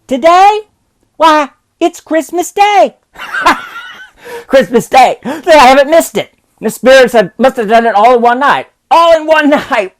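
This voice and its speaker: A joyful old miser cackles and exclaims in a high, lively voice that leaps up and down, as a man puts him on for a storybook reading. He sounds giddy and light. The delivery is unhurried. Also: exaggerated voice. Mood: gleeful.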